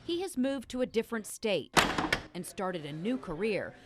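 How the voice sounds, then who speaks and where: A woman's voice: mid-range voice, sing-song, normal speed, heavy background noise. A woman reporting the news, reading a scripted broadcast narration.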